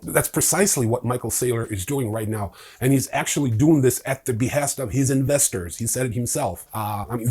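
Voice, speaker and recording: Man, medium pitch, husky, informal, sardonic, opinionated news host. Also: darkish tone. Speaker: host of a crypto news show.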